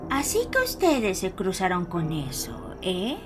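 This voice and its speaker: Woman doing a stern old grandma in a horror audio story, slow and warning, voice swings a lot, noisy bg with crickets. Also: mid-range voice, huge pitch swings. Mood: grave. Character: stern elderly grandmother, guarded and foreboding storyteller. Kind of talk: dramatized horror audio fiction.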